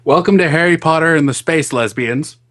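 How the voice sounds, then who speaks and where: A man's voice: fairly high pitch, fairly quick, a bit bright. A man co-hosting a podcast, joking and reading fan fiction aloud with amused mock dismay. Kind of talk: joking banter and read-aloud.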